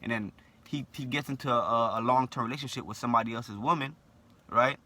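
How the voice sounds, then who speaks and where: A man's voice: mid-range voice, a little fast, sharp tone. A young man with a lisp, delivering a blunt, opinionated monologue to camera and tagging "right?" onto nearly every point. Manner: blunt, repetitive, asks "right?" after nearly every line. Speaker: vlogger.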